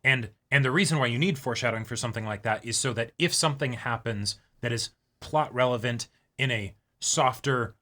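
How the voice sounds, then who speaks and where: A man's voice: mid-range voice, brisk, hardly any pauses, sharp tone. A man co-hosting a reaction show in casual chat, thinking aloud as he explains and checking for agreement. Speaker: co-host of an anime reaction show.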